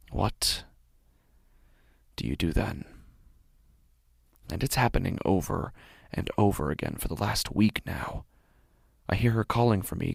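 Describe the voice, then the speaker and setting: Man playing a creaky-voiced, anxious, obsessive father. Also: deep voice, dry recording, studio quality. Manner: hesitant, halting, intense, sighing. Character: devoted, anxious, obsessive father of a young daughter. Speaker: narrator of a horror monologue. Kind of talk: dramatic first-person horror audio drama monologue.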